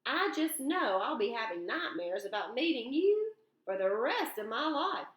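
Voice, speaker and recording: A woman's voice: on the high side, echoey room, rough recording. A woman voicing a kindly older man who teases a boy, telling his worries with easy humor. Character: older male driver, kindly teasing man.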